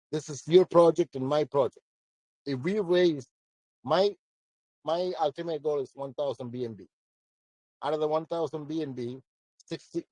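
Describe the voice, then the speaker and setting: A man with a commanding voice fields questions in a live audio session. His tone is mellow and dark, and the sound is dry with no room echo. He speaks bluntly and repeats his points for emphasis. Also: fairly high pitch. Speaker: project founder answering questions.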